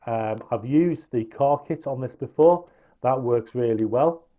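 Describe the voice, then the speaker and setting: A man's voice: medium pitch, unhurried, mellow, lo-fi sound. A man giving an informal product review and demonstration, explaining features in a matter-of-fact way. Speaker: radio gear reviewer.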